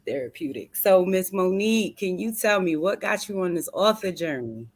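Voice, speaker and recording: A woman's voice: medium pitch, moderate pace. A woman hosting an online book tour interview, upbeat and chatty. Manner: upbeat, chatty, uses y'all. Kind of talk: casual interview.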